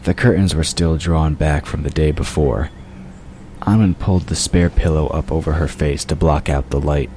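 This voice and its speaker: A man's voice: a bass voice, fairly even intonation, moderate pace, dark tone. A man reading scripted audiobook narration of a story. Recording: clear noise behind the voice. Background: ambient sound effects.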